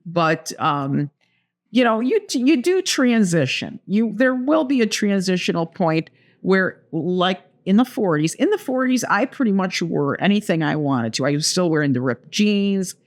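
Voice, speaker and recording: A woman's voice: fairly low pitch, sing-song, a little slow. A woman in her seventies co-hosting a podcast, chatting casually and telling stories with playful asides. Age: 70s. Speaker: podcast co-host. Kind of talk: casual chat.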